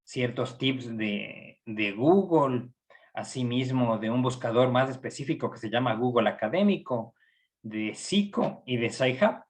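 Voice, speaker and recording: A middle-aged man with an Ecuadorian accent, a psychology professor giving a training lecture in a measured way, pausing often with fillers. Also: mid-range voice, lively intonation. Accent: Ecuadorian Spanish. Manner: measured, with frequent hesitations and fillers. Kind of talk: recorded online lecture.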